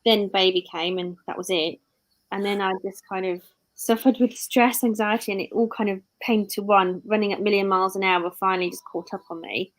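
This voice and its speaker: Brief: British woman in her thirties, casual live-stream host, chatty and rambling, mid-pitched. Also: steady tone, fairly quick, low-quality recording. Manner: rambling, self-correcting.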